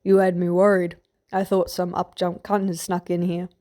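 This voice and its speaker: A woman voicing a gruff, protective, foul-mouthed male guard with a growling, snapping manner in a fantasy audiobook. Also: on the low side, flat delivery, normal speed, dark tone, lightly acted. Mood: gruff concern. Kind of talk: fan fiction audiobook character voice.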